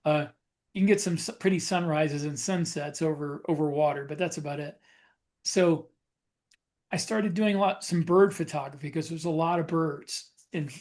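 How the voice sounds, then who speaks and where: Higher-voiced man chatting casually. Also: a little mellow. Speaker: podcast co-host. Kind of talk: casual chat, personal anecdotes.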